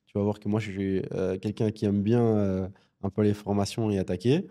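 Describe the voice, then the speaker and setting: A man in his thirties from Belgium, a podcast guest talking casually in a studio, halting with many fillers. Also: fairly low pitch, little pitch movement, warm tone. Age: 30s. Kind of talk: casual interview chat.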